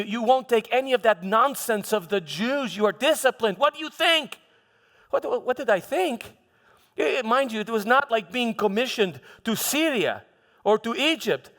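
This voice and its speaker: A man playing a proud, defensive Roman governor in a live church monologue, declaiming emphatically with halting repeated words. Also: extremely high pitch, a bit bright, full character voice. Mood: brisk, confiding, then proud, earnest. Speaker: preacher performing a dramatic monologue. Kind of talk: first-person theatrical church monologue. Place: large hall, live church performance. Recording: long hall reverb.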